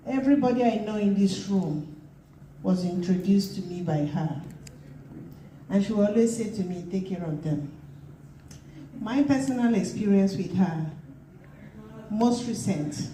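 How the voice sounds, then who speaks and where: A woman from Nigeria speaks at a memorial in a slow, earnest and heartfelt way, and her voice is very dark and mellow. She is heard from a distance in an echoey room with a faint wash of noise. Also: mid-range voice. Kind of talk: memorial tribute speech. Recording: roomy hall mic from far off with background noise.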